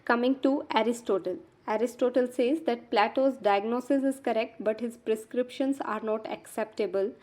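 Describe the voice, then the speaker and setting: A woman presenting scripted educational narration, reading quotations aloud in a measured, deliberate manner for revision. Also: fairly high pitch, steady tone, darkish tone.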